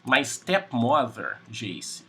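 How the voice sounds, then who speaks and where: A Brazilian man with a Portuguese accent teaching English on a video call, speaking in slow, simple sentences and repeating words. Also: medium pitch, wildly swooping pitch, sharp tone, a little hiss or hum. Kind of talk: online English class conversation.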